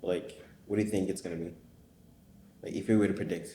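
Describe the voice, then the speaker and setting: A low-pitched man hosts a casual interview, circling his questions with false starts and casual swearing. He sounds like he is searching for his words. The room is echoey, with a faint hum of ambience behind him. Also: fairly even intonation, brisk, mellow. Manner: hesitant, many fillers and restarts, swears casually. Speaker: podcast host.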